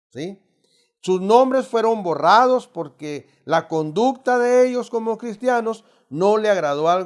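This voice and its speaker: A middle-aged man from Mexico with a Mexican accent, teaching an adult Bible study in an emphatic, preacherly way, constantly addressing the listeners as brothers. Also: a little high. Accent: Mexican Spanish.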